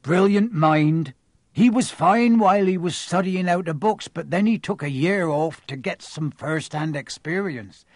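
A man's voice: a little high, a little slow, no room sound, studio quality, voice pushed up. A man voicing a huge, kindly giant of a man who talks in homely, ungrammatical speech. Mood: chatty.

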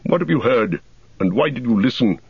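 A man's voice: medium pitch, lively intonation, normal speed, breathy edge, no room sound, lo-fi sound, voice pushed up. A man voicing a stern, wise old male wizard who speaks in a grave, commanding way. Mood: grave counsel.

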